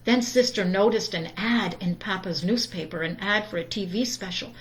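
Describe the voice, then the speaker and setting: A woman reading a children's story aloud in a deliberate, expressive storytime manner. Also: medium pitch, poor audio. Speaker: storytime reader.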